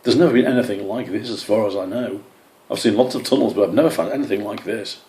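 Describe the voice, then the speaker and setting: A man voicing a gruff, worried older badger father in an animal story, with urgent, commanding delivery. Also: fairly low pitch, fast talker, mellow, a little hiss or hum, lightly acted. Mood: puzzled.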